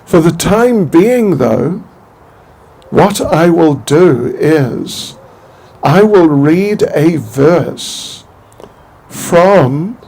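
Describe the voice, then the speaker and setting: An older man from New Zealand giving an informal spiritual talk, halting and rambling, with many restarts and small chuckles. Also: a little high, animated, warm tone, husky. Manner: chuckles to himself. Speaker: spiritual teacher.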